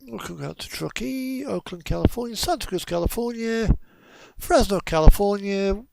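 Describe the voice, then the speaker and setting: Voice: mid-range voice, moderate pace, vocal fry, background noise. A game streamer giving casual live commentary, thinking aloud and muttering through options.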